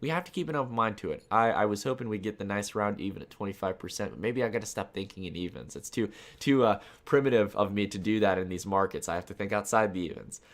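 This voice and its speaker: A man's voice: lowish voice, fast, hardly any pauses. A young man with a stuffed-up nose, hosting casual market commentary in a chatty way full of repeated filler phrases. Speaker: crypto market channel host.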